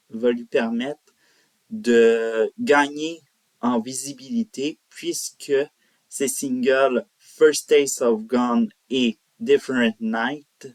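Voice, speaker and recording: A man hosting a music profile, narrating informally and haltingly, correcting himself as he goes. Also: medium pitch, dry recording. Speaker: host of a country music profile show. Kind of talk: semi-scripted biographical narration to viewers.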